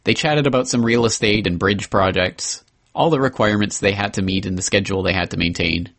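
A man hosting a podcast, reading a memoir excerpt aloud in an earnest, measured way with the odd slip and restart. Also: fairly low pitch, fairly bright tone.

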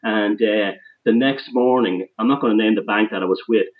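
A man's voice: fairly low pitch, normal speed. An Irish man, a guest author, telling his story in a rambling, conversational way over the phone. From Ireland. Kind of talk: phone interview. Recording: dull, distant phone line.

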